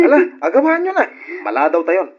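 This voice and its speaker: A man playing a vain, impatient young brother in an Ilocano radio drama, with animated, complaining banter. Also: high-pitched, fairly quick, full character voice. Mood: scolding banter. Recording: dull lo-fi radio recording.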